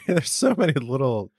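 A man's voice: mid-range voice, darkish tone, husky, dry recording, clean studio sound. A man hosting a podcast, recapping an episode in a rambling, chuckling way. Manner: rambling, chuckling, filler-heavy. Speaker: podcast host.

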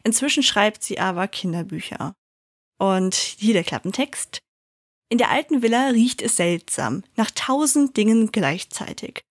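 A woman's voice: mid-range voice, lively intonation, no echo, clean studio sound. A young adult woman talking casually about books she loves and reading their blurbs aloud. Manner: chatty and enthusiastic, reads blurbs aloud more slowly. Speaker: book reviewer. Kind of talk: casual book recommendations to viewers.

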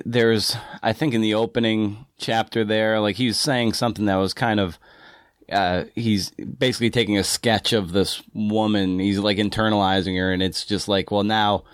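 A man's voice: fairly low pitch, no room sound, studio quality. A man hosting an interview podcast, talking casually with loose, informal phrasing.

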